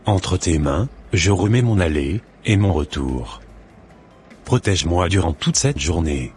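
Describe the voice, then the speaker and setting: An earnest, devout young man in a cartoon drama voiced by a man, speaking in a low voice. He is a devoted son in love, and music plays behind his scripted lines. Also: expressive, unhurried, a little mellow. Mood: polite, devout.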